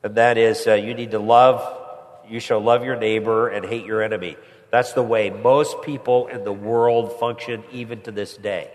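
A pastor preaching a sermon with a stuffy head cold, climbing higher and louder when he presses a point. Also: fairly even intonation, moderate pace. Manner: rises in pitch and volume when pressing a point. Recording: long hall reverb.

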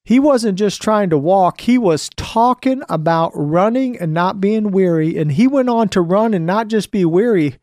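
A man's voice: sits high, mellow, no room sound, clean studio sound. A man hosting a motivational radio talk show, emphatic and upbeat, repeating phrases for effect.

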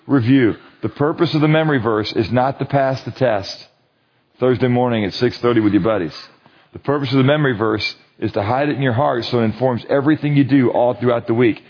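A man's voice: medium pitch, highs cut off. A man leading a men's Bible study, preaching in a conversational, emphatic way and often checking that listeners agree. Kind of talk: sermon.

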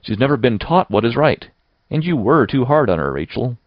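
A man voicing a stern but fair guardian woman in an audiobook, firm and deliberate. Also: mid-range voice, sing-song, no echo, dull audio, voice pushed up. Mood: firm, reasoning. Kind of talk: audiobook character voice.

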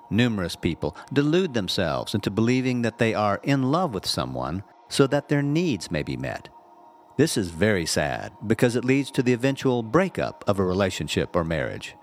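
A man, a reverend, reading a spiritual essay aloud in a measured, earnest and unhurried way. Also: on the low side, slight background noise.

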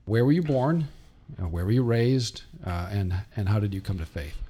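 A man hosting a radio interview, introducing his guest and asking measured, friendly questions. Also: fairly low pitch, animated, mellow, husky. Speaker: radio host.

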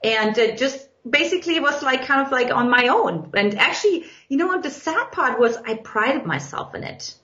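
A woman's voice: sits high, very animated, normal speed, few pauses, sharp tone. A woman from Eastern Germany with a German accent, a podcast guest telling her story in an earnest, reflective way. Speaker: guest, dating coach. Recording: echoey, dull lo-fi sound.

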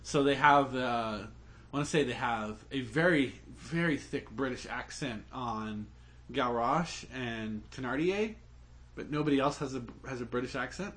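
A man co-hosting a podcast, explaining a topic at length in relaxed chat. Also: medium pitch, measured pace. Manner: explains at length, with fillers and restarts. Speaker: podcast co-host. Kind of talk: casual chat.

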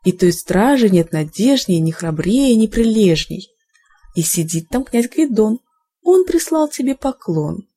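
A woman's voice: mid-range voice, very animated, unhurried, warm tone, breathy edge. A woman voicing seafaring merchants who recount marvels in verse, in a grand, wondering manner. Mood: awed recounting.

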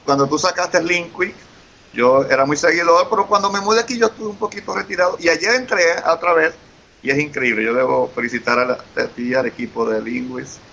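Enthusiastic Venezuelan man, bright voice. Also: fairly high pitch, brisk, vocal fry. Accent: Venezuelan Spanish. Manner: enthusiastic, effusive, repeats himself. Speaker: interview guest, engineer. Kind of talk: casual interview conversation. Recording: lo-fi with faint hiss.

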